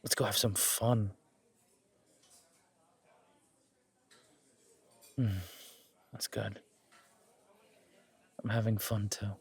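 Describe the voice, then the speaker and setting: A man playing an earnest, protective, wry mafia boss on a first date in a romantic audio roleplay, speaking in a measured, intimate way. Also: fairly low pitch, flat delivery, creaky, husky, heavily acted. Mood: amused, teasing.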